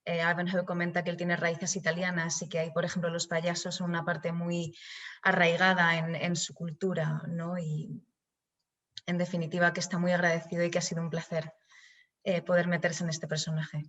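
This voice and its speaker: A woman interpreting at a live Q&A, summarising in a measured manner. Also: on the low side, monotone, fairly bright tone.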